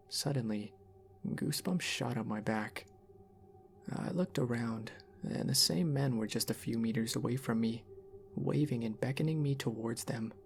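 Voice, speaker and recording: A man reading a scripted horror story in an ASMR style, with measured, deliberate delivery. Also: mid-range voice, gravelly, slight background noise.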